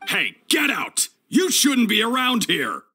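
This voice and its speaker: A man voicing a stern, protective guard in a video game, with a forceful and dutiful manner. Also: extremely high pitch, huge pitch swings, takes it slowly, faint music behind, full character voice. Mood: angry warning. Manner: forceful, barking, deferential to superiors. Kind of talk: scripted video game dialogue.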